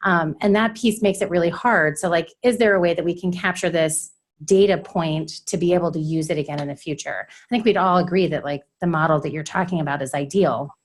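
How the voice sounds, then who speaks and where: A woman with a low-pitched voice leads the discussion at a workshop. She explains her points in a measured, steady way. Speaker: workshop presenter.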